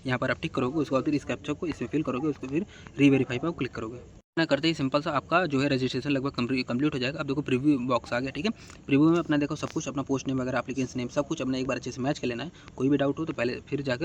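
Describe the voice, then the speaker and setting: A man's voice: medium pitch, steady tone, fast, a little hiss or hum. A man giving casual, step-by-step instructions as a tutorial host, often adding short check-in tags.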